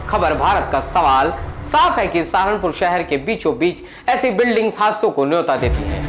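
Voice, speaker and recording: A man presenting a scripted news report in a dramatic, emphatic, rhetorical style. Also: sits high. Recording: echoey voice over loud background music.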